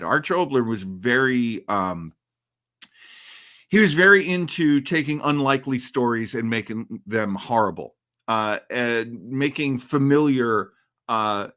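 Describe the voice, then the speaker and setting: Male livestream host, medium pitch, conversational commentary, thoughtful delivery with plenty of pauses. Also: expressive, fairly bright tone. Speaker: livestream host of a classic radio horror show. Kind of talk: casual live commentary and chat with listeners.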